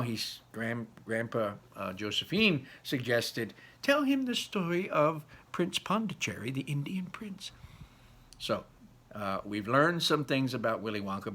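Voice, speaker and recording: A man reading a children's book aloud, conversationally pausing to explain and put questions to his listeners. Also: mid-range voice, gravelly, bright, forceful tone. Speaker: read-aloud storyteller explaining words to children. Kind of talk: children's book read-aloud with teaching asides.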